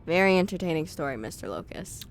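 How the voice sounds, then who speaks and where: A man's voice: fairly high pitch, animated, quick pace, pitched above the performer's own voice. A man voicing a sly woman journalist who teases and probes the inventor with her questions in a fan audio drama. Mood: teasing. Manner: teasing, probing questions.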